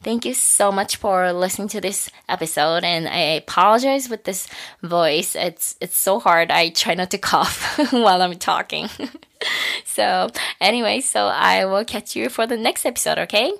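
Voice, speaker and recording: A woman hosting a podcast, her voice husky from a lingering cough, talking in a chatty, encouraging way and laughing lightly between thoughts. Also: mid-range voice, normal speed, fairly bright tone. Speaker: podcast host. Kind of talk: casual solo podcast wrap-up.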